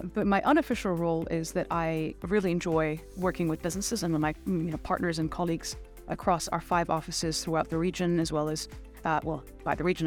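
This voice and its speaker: Low-pitched middle-aged woman, interview guest. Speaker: interview guest, finance executive. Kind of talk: conversational interview answers.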